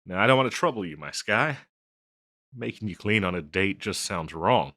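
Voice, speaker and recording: A man acts out a self-conscious young harpy boyfriend in an audio roleplay. His voice is low but bright and forward, recorded close and dry. He is tender and apologetic, stammering and hesitating as he talks to his partner. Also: lively intonation, normal speed, dry recording, heavily acted. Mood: embarrassed, apologetic. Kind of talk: scripted audio roleplay, intimate one-sided dialogue.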